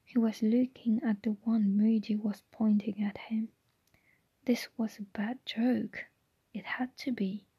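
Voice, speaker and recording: Woman whispering a story, very slow. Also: a little high, steady tone, husky, no room sound, highs cut off. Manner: soft unvoiced whisper throughout. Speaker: narrator. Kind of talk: ASMR audiobook reading.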